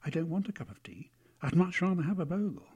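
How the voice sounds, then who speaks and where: A man's voice: a little high, very animated, fast talker, mellow, husky, lo-fi sound, pitched above the performer's own voice. A man voicing a naive, earnest young boy in a story, with a very polite manner. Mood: polite, puzzled.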